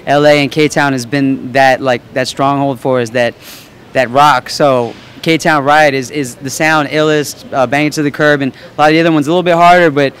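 A man's voice: medium pitch, steady tone, moderate pace, fairly bright tone. A young man from Los Angeles, a musician guest answering at an indoor event on a handheld mic, laid-back and rambling. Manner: laid-back, rambling, many fillers and restarts. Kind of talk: casual interview talk.

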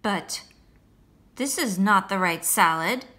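Boastful but sweet little French snail for a storybook, voiced by a woman: bright, slow, dramatic delivery over faint music. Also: mid-range voice, animated. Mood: indignant, fierce. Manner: theatrical, deliberate, addressing the child listener. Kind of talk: picture book read-aloud.

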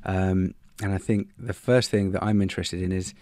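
A man's voice: deep voice, steady tone, normal speed, dark tone, dry recording. A man hosting a podcast interview, friendly and chatty, addressing his guest as mate. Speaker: podcast host. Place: home room with sloped ceiling. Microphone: studio mic on arm with headphones.

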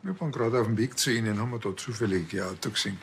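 A man's voice: lowish voice, racing, gravelly, fairly bright tone, slight background noise. Blustering Bavarian policeman. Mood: matter-of-fact.

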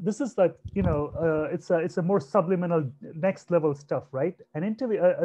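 Presenter, male, 30s, from India: soft, mellow voice, chatty teaching delivery, heard over dull lo-fi video call audio. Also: fairly high pitch. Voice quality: naturally soft voice. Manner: conversational, repeats himself, asks "right?" often. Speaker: webinar presenter. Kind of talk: online career webinar talk. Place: home room on a video call. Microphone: computer mic on a video call.

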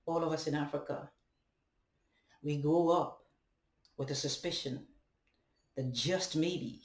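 A man's voice: a little high, fairly even intonation. Young Ghanaian man, echoey room. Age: young adult. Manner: halting, deliberate, rhetorical questions and tag "right?". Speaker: vlogger. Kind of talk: reflective monologue to camera. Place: indoors, room at home with bare walls and ceiling fan. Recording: echoey room, webcam at a distance.

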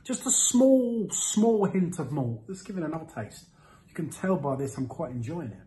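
A man in his thirties giving a casual product review to camera, with a playful, theatrical delivery and drawn-out words. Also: a little high, sing-song, dark tone, a little hiss or hum, poor audio. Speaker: host of a beer review series. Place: small indoor room with window blinds.

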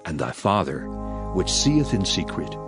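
Solemn deep-voiced scripture preacher. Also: male voice, very animated, music in the background. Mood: solemn, emphatic. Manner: declamatory, measured, emphatic. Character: solemn male preacher delivering scripture with authority. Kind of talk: dramatized King James Bible reading.

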